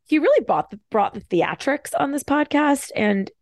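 A woman hosting a solo podcast, talking fast and casually with wry, animated asides. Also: high-pitched, no echo, clean studio sound. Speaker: podcast host. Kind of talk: casual solo commentary, reading bios with asides.

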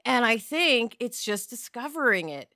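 Bright, higher-pitched woman in casual discussion. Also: big pitch swings, normal speed, no echo, clean studio sound. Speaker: podcast panelist.

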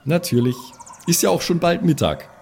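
A man acts out a brash young hard-drinking band woman in an audio role-play. The voice is animated and slightly high, casual and full of swagger, over faint outdoor bird noise. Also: moderate pace, gravelly. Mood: cocky.

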